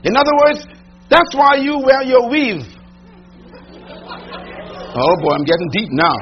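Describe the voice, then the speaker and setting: High-pitched man preaching with swooping delivery. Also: big pitch swings, measured pace, some chatter behind. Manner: emphatic, rhetorical questions, swinging from loud to hushed. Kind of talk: sermon. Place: large room with audience. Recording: dull lo-fi recording with mains hum.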